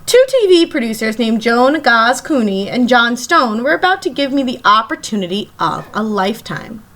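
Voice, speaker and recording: Animated, high-voiced woman reading to children. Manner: animated, emphatic, pauses to question young listeners. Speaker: elementary school teacher reading aloud. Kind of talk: expressive children's read-aloud storytelling.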